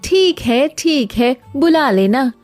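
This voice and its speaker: A woman's voice: sits high, lively intonation, slow, darkish tone, no room sound, faint music behind. A woman playing a dutiful, fretful wife of a village woodcutter in a cartoon story, polite and homely. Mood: eager. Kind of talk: Hindi cartoon story dialogue.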